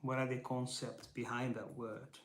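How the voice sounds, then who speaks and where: A man whose first language is Portuguese gives a thoughtful teaching talk, pausing to search for words and often restarting his sentences. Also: medium pitch, level tone, slight background noise. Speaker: Bible teacher. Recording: roomy echo.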